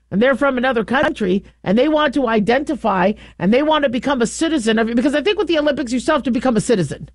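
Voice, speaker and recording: A woman's voice: sits high, no room sound, clean studio sound. A woman hosting a call-in radio talk show, talking in a loose, rambling way with many restarts and fillers.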